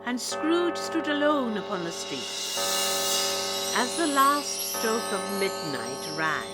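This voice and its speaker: A woman's voice: a little high, big pitch swings, takes it slowly, poor audio. A woman giving scripted, dramatic storytelling narration for a radio play. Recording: plucked guitar music loud behind voice.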